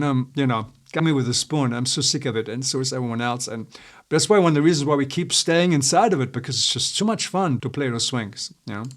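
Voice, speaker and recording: A man who hosts a crypto market channel, still congested from a cold, giving a casual, chatty screen-share market update and thinking aloud with small laughs. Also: medium pitch.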